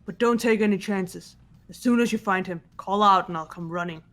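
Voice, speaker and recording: Protective young warrior type, voiced by a man, unusually high voice, serious and commanding, game dub. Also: moderate pace, fairly bright tone, faint music behind. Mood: urgent. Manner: earnest, commanding. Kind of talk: amateur game voice acting.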